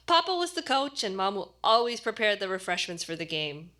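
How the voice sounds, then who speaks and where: A woman actor reading a short story aloud as expressive scripted narration. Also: medium pitch, fairly quick.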